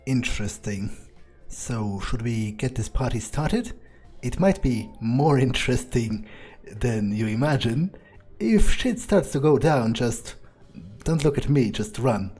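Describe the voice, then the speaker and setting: A man's voice: medium pitch, lively intonation, unhurried, darkish tone, breathy edge. A man voicing a guarded, dry-humored male vampire in improvised game roleplay, hesitant and musing aloud. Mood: cool, protective. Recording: game music behind the voice.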